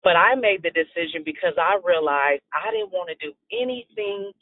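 A man calls into a prayer line and gives an earnest, preacherly testimony. His voice sits a little high, and it comes through a thin phone line. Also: lively intonation, moderate pace, gravelly. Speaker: caller.

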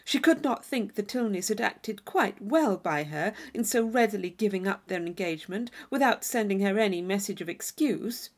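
A woman's voice: medium pitch, expressive, moderate pace, hardly any pauses. A woman reading a classic novel aloud as scripted audiobook narration.

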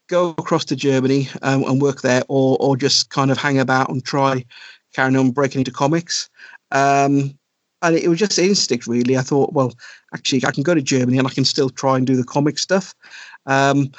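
A man's voice: medium pitch, no room sound. Hesitant man, dry lo-fi sound. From South Wales. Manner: hesitant, many ums and restarts. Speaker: podcast guest, comic writer. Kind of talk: casual interview chat.

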